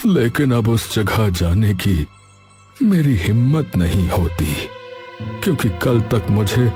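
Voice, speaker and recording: Slow, sorrowful old king played by a man. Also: lowish voice, sing-song, music in the background. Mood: sorrowful, weary. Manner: slow, halting, weary delivery. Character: sorrowful elderly deposed king, slow and dignified. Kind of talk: Hindi moral-story cartoon dubbing.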